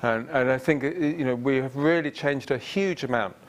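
A man's voice: medium pitch, moderate pace. A middle-aged man giving a lecture, answering questions from the audience in a hesitant, filler-heavy way with self-deprecating humour. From England. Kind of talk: public lecture Q&A. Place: large lecture theatre with audience.

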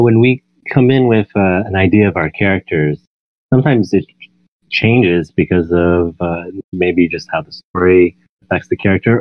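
Deep-voiced man on a muffled phone-like line. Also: no echo. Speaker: podcast interviewer. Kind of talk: casual interview chat.

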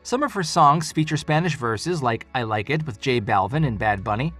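A man's voice: mid-range voice, expressive, moderate pace, a bit bright, faint music behind. A man narrating a compilation video in a scripted, upbeat way.

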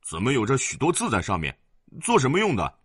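A man's voice: mid-range voice, huge pitch swings, brisk, breathy edge, dry recording, clean studio sound, voice pushed up. A man doing a brash, bratty young boy. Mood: curious. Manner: brash, yelling. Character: rough, hot-tempered rich man's young son.

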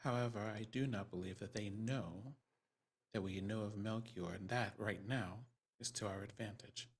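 A man improvising in character in a tabletop roleplaying game over a video call, using formal, measured wording. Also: lowish voice, husky. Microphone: close mic on a video call.

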